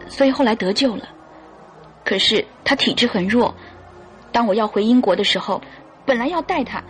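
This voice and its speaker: A woman acting a devoted mother in a radio drama. Her voice sits a little high, with faint music behind it, and she speaks in an earnest, deliberate, pleading way that stays resolute. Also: close to an everyday voice.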